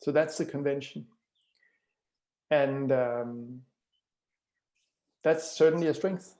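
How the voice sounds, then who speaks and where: A man's voice: mid-range voice, slow pace, warm tone. A man with a Danish accent, a book author speaking as a webinar guest, talking thoughtfully with many fillers and repeated words. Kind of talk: webinar talk, answering questions and reading chat.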